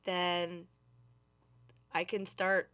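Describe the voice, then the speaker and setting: A woman's voice: fairly low pitch, little pitch movement, drawn-out words, a bit bright, clean tone. Easygoing female podcast co-host. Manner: easygoing, laughs often, short agreeing replies. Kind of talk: casual chat.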